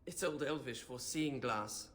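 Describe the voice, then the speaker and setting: A man playing a fussy, bookish young mage in a video game, with a nervous, excitable, pedantic manner. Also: medium pitch, measured pace, close to an everyday voice. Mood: explaining.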